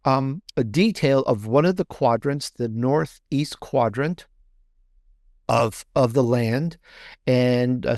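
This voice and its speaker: A man's voice: mid-range voice, a little mellow, no room sound. A man with a mid-range voice talks as a guest author in an interview. He explains in an enthusiastic, meandering way, conversational and promotional. The recording is close and dry. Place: video interview with book backdrop.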